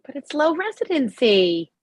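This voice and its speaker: A woman's voice: sits high, big pitch swings, moderate pace, dry recording, studio quality. A woman playing a chatty, eager, oblivious middle-aged mother in a comedy script table read.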